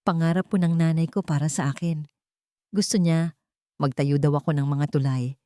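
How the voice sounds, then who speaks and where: A woman's voice: low-pitched, fairly even intonation, normal speed, warm tone, dry recording, clean studio sound, lightly acted. A woman voicing a respectful, earnest young male driver in an audio storybook, speaking politely. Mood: wistful. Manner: polite, deferential.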